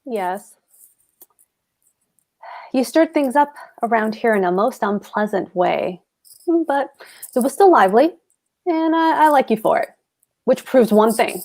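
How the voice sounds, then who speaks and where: Blustering, self-important comic husband played by a woman, higher voice with animated swings, emphatic delivery. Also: unhurried, long pauses. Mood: gruffly fond. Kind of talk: live cold table read of a stage comedy.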